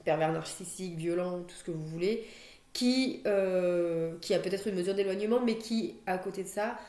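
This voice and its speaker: A woman's voice: lowish voice, moderate pace, mellow, reverberant. A young woman with a cold doing a chatty tarot card reading at home, with fillers and restarts, recorded on a computer mic. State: has a cold, coughing. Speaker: tarot reader. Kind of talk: monthly astrology card reading. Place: home, near a stairwell.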